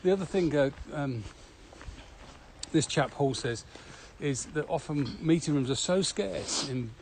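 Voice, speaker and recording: A man's voice: fairly high pitch, unhurried, low-quality recording. A man talking casually as co-host of a podcast. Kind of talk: casual conversational podcast chat. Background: steady ambient noise.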